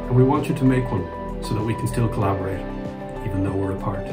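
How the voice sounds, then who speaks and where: A man's voice: fairly low pitch, fast talker. A middle-aged man from Ireland, giving a cheerful scripted invitation from an indoor woodworking workshop. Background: music.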